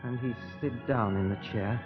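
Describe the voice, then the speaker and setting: An actor playing a heartbroken young man, haltingly confessing to murder in an emotional 1950s radio drama style. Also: mid-range voice, soft background music, natural voice. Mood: grim, haunted. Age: young adult. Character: heartbroken eighteen-year-old lad confessing to murder. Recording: muffled old radio broadcast recording.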